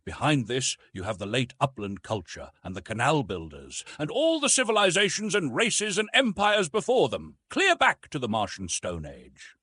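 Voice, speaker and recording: A man reading the part of an aging archaeologist, reflective and gentle, his voice a little husky. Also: medium pitch, wildly swooping pitch, normal speed, hardly any pauses, no echo, full character voice. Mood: resigned. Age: older. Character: aging male scholar, weary and resigned.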